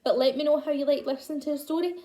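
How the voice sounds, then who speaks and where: A woman's voice: sits high, steady tone, very fast, mellow. A Scottish woman reading a picture book to young children as a nursery worker, speaking expressively straight to them.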